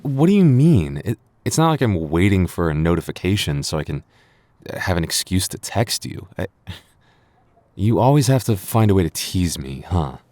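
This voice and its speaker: A man's voice: sits low, sing-song, moderate pace, a little mellow, dry recording. A young man playing a defensive, secretly smitten tsundere boyfriend in an intimate ASMR roleplay, stammering and easily embarrassed. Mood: flustered protest.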